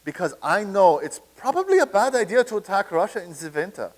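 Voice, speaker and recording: A man acting in a staged comedy reading as a boastful, thin-skinned, excitable wartime German officer. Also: sits high, brisk. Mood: earnest, plotting.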